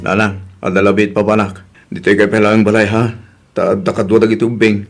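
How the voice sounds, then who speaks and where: A man's voice: fairly low pitch, level tone, a little fast, faint music behind, close to an everyday voice. A man with an Ilocano accent acting in an audio drama as a hurt, weary but resolute husband and father. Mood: serious, weary. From Philippines.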